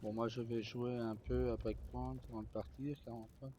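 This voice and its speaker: A man's voice: medium pitch, little pitch movement, moderate pace, warm tone, dull audio, a little hiss or hum. A man giving casual commentary as he plays a video game on a live stream.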